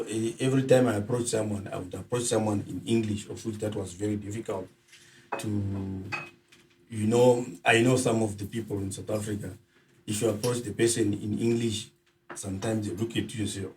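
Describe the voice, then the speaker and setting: A middle-aged man from Zimbabwe talks as an interview guest, pausing often on ums and uhs. His voice sits a little low. He is picked up from some distance, with a faint hiss behind him. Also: warm tone. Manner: hesitant, many filler sounds. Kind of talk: conversational interview.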